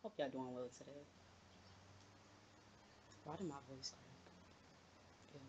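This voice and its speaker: A woman's voice: rumbling low, a little slow. A young woman gives casual reaction commentary as a gaming streamer, recorded at home with heavy noise.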